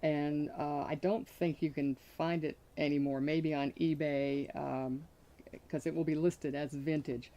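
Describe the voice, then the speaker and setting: A woman in her sixties with a notably low voice chats cheerfully about sewing, laughing easily and filling gaps with uh and um. She explains her techniques in a relaxed, conversational way. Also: unhurried, lo-fi sound. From Connecticut, USA. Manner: cheerful, laughs often, fills with uh and um. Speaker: guest sewing expert. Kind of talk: casual chat and sewing demonstration.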